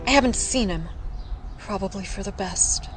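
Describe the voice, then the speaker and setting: A woman's voice: medium pitch, normal speed, music in the background, natural voice. An actress playing a guarded, irritated mother and ex-wife in an audio drama, curt and defensive.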